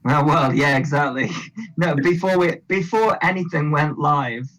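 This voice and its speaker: A man's voice: on the high side, measured pace, fairly bright tone, low-quality recording. A man co-hosting a podcast, chatting casually, asking teasing questions and laughing easily. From northern England. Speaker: podcast co-host.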